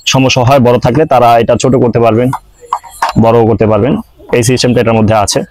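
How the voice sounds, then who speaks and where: A man with a Bangladeshi accent giving an informal product demonstration and sales pitch for an online shop, explaining things step by step directly to viewers. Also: darkish tone, a little hiss or hum.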